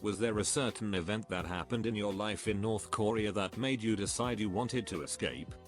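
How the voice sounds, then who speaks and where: A man giving a scripted narration, reading online questions and answers in an even, measured way. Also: on the low side, soft background music.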